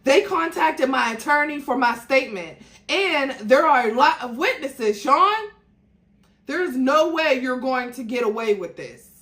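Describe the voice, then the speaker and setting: Woman acting out a loud, agitated, defiant young woman. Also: sits high, lively intonation, a little slow, voice pushed up. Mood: agitated, angry. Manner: loud, indignant, swearing.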